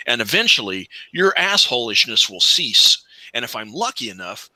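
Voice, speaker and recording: A man's voice: mid-range voice, very animated, moderate pace, bright, forceful tone, dry recording, poor audio. A man in his late forties chatting casually on an online group call in a blunt, profane way. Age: late 40s.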